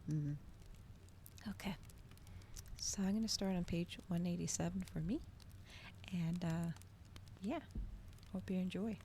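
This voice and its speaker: A woman speaks softly and gently in a slightly low, creaky voice that drifts toward whispering. She hosts a casual ASMR livestream, talking a little hesitantly to her viewers. A fireplace can be heard as background noise behind her. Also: measured pace, long pauses. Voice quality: soft, gentle voice, often slipping into whisper. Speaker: streamer hosting a live reading. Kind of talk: soft-spoken ASMR livestream chat. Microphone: regular microphone, not ASMR-specific. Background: crackling fireplace ambience.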